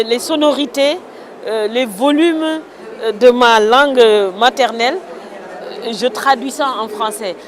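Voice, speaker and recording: Woman from senegal, author in an interview, slow thoughtful answers full of euhs, a bit high voice, crowd chatter outside. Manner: thoughtful, hesitant with many fillers, vivid expressive exclamations. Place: outdoors in a town. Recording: crowd noise outdoors.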